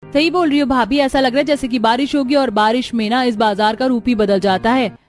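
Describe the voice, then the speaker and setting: A woman's voice: a little high, steady tone, fairly quick, lightly acted. A woman voicing women of an Indian family in a Hindi cartoon story, kind and homely in temper. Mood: concerned. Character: warm, family-minded young Indian daughters-in-law and older women in-laws. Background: music.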